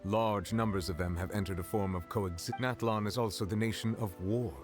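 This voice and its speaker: A deep-voiced actor as an ancient dragon judge from a video game. He speaks in stately, formal, measured phrases with solemn gravity, over game music. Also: fairly even intonation, close to an everyday voice. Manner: formal, measured, courtly speech.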